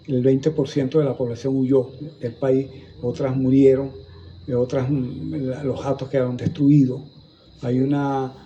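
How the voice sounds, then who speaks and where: A man's voice: medium pitch, level tone, measured pace, warm tone, muffled recording, a little hiss or hum. An older man from Venezuela with a Venezuelan Spanish accent, recalling local history in a rambling way, with many restarts and repeated words. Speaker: guest historian. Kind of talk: conversational storytelling in a museum talk. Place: museum gallery, indoors.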